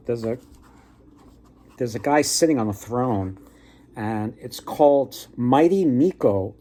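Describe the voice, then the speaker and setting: A man with an average-pitched voice retells a folktale off the cuff. He is conversational and rambling, feeling his way haltingly through the story as a book vlogger would. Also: warm tone. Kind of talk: casual, unscripted folktale retelling.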